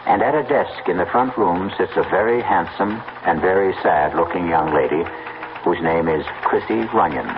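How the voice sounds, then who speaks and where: Deep-voiced American mystery radio host. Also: male voice, moderate pace, noisy background. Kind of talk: dramatic scripted narration. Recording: muffled old radio broadcast.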